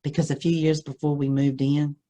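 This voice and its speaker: A middle-aged American woman with a husky voice reads a scary first-person story aloud, expressively and with suspenseful pauses. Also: low voice, flat delivery, dark tone.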